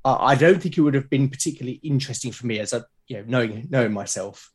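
A British man talking as a podcast guest, explaining his creative process in a reflective, halting way. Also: medium pitch, husky. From Britain. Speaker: podcast guest, creator of a solo role-playing show.

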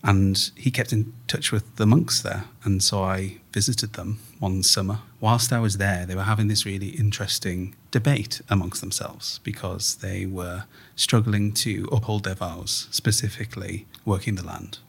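A man's voice: sits low, fairly even intonation, normal speed, gravelly, hardly any pauses, slightly rough. A man, a playwright in an interview, explaining things in a conversational storytelling way.

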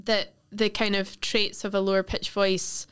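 A woman's voice: medium pitch, little pitch movement, measured pace, a bit bright, smooth. Young woman, casual podcaster, loose and chatty, clean resonant tone. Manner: chatty, swearing, many fillers. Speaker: podcast host. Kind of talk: casual chat.

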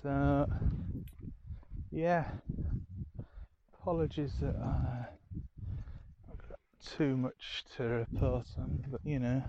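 A man's voice: mid-range voice, muffled recording, background noise. A man with a Yorkshire accent rambling casually through his weekend in a diary-style chat, with lots of ums and a self-deprecating manner. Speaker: diary podcast host. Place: home.

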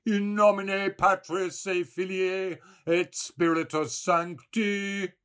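A man's voice: mid-range voice, big pitch swings, slow, bright, forceful tone, pitched above the performer's own voice, full character voice. A man voicing a brash, mocking young man with a mock-solemn, declamatory manner in a storybook reading. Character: brash, mocking, theatrical young Irish man, boisterous joker.

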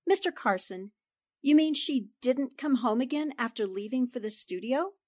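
An actress plays a sharp-eyed newspaper reporter in a 1940s-style radio drama. Her voice sits fairly high and is recorded dry and close. She is poised, precise and politely probing. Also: animated, dry recording. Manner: polite, precise, deliberate questioning.

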